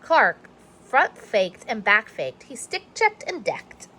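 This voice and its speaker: A woman reading a children's storybook aloud in an expressive narrating style. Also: fairly high pitch, takes it slowly, slight background noise. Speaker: storybook reader.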